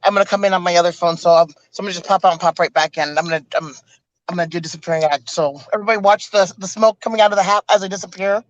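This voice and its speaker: A woman on a pagan livestream panel, joking around in quick chatter. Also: on the low side, fairly even intonation, dry recording. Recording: dull dry video-call audio.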